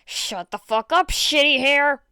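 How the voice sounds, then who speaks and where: A woman's voice: high voice, a little slow, sharp tone, no echo, studio quality, pitched above the performer's own voice, full character voice. A woman voicing an explosive, aggressive teenage boy who barks and swears in a fan fiction audiobook. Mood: angry. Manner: barking, swearing.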